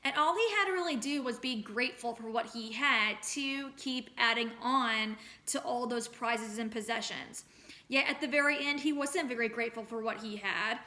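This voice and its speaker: A woman teacher reads and explains a story for children. Her voice is bright and a little high, and she phrases things expressively, with deliberate emphasis. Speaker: teacher reading aloud to children. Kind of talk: expressive storybook read-aloud with lesson questions.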